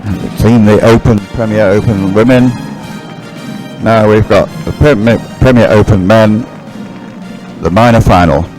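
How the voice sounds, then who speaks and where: A male race commentator with a fairly low voice speaks live over faint music. His delivery is descriptive and somewhat halting, with corrections made along the way. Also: darkish tone, poor audio.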